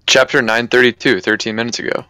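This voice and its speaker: A man's voice: mid-range voice, level tone, fast, sharp tone. A man chatting casually in a livestream voice call while working at a computer. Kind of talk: casual chat. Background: clicking and keyboard typing.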